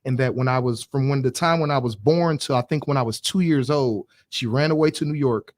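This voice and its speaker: A man hosting a casual group chat, animated and laughing often. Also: mid-range voice, a little fast, no echo. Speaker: podcast host.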